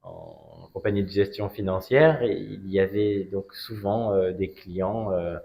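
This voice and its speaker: A man in his forties from Strasbourg, France, telling his story as an interview guest in a measured, hesitant way with frequent pauses. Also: low voice, creaky, mellow. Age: 40s. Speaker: interview guest, cigar brand ambassador. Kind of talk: conversational interview, personal story.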